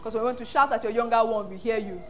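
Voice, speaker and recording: A Nigerian woman pastor preaching an animated sermon, acting out voices and laughing often. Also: on the high side, fast, soft background music.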